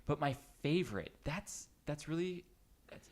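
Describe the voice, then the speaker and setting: Animated male podcast host. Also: on the high side, a little slow. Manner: animated, joking, geeky enthusiasm. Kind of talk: casual group chat.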